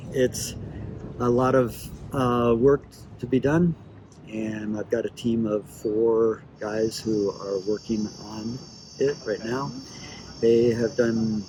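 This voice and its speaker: A man from Ecuador speaking casually as a missionary in an interview, haltingly searching for words. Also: fairly low pitch, noisy background. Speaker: missionary and Bible translator. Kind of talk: casual promo video interview.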